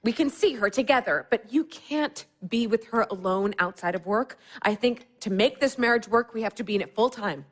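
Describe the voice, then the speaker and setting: A woman's voice: fairly high pitch, moderate pace, hardly any pauses, close to an everyday voice. A woman playing an anxious, combative young married woman, blunt and sarcastic, in a naturalistic audio drama. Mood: exasperated.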